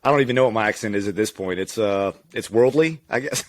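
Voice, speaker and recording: A man's voice: on the low side, brisk, no room sound. Guy from louisiana, chill and candid, laughs a lot, dry close sound. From Louisiana, USA. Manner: relaxed, candid, laughs easily. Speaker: physical therapist guest. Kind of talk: casual conversational interview.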